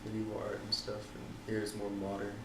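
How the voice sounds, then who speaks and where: Young guy from holland, low flat voice, lots of ums, roomy echo n background noise. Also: fairly quick, vocal fry, distant mic. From Netherlands. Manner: hesitant, many ums and likes. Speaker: interviewee. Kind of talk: casual interview answers.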